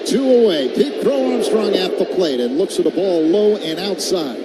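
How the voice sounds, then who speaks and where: A man's voice: sits high, expressive, moderate pace. A man calling live baseball play-by-play on the radio in clipped phrases that build with the action. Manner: clipped phrases, builds to shouts on big plays. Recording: loud constant stadium noise behind.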